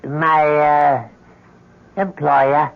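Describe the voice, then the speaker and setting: A man playing a small, dwarf-like supernatural tempter in a radio horror drama, measured, courteous and insinuating. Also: a little high, level tone, vocal fry, full character voice. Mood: calm, ominous. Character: sly small dwarf-like supernatural male tempter, softly insistent. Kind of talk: old-time radio horror acting. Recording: muffled vintage radio recording.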